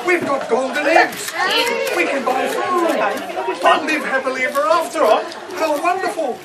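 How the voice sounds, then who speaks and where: Scatty puppet mum done by a puppeteer, really high exclaiming voice, repeats herself, far from the mic outside. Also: measured pace. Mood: overjoyed. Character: Jack's fussy, sceptical, scatty mother puppet. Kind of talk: live children's puppet show. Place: outdoor puppet booth in a garden. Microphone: far camera. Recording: distant camera, noisy outdoor children's crowd.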